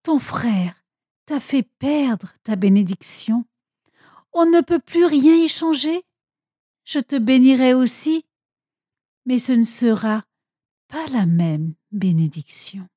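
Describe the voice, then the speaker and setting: A woman's voice: sits high, steady tone, a little slow, pauses often, warm tone, no echo, clean studio sound, voice pushed up. A woman voicing a solemn, declamatory old father in a storybook Bible tale. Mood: heavy-hearted. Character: old father Isaac, solemn patriarch, later horrified.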